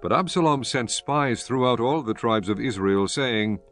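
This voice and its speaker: A man's voice: medium pitch, lively intonation, moderate pace, a bit bright, soft background music. A man reading scripture as a narrator in a solemn, scripted style.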